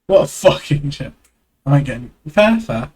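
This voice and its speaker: A man's voice: on the high side, big pitch swings, measured pace, darkish tone, slightly rough. A congested man streaming casually, reading a webcomic aloud and chatting in a rambling, self-deprecating way with casual swearing, on an external desk mic. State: congested, stuffy nose.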